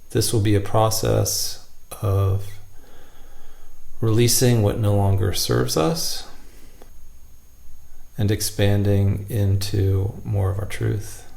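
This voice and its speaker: A man leading a guided meditation, speaking gently and unhurriedly in short phrases with long pauses. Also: fairly low pitch, fairly even intonation, gravelly.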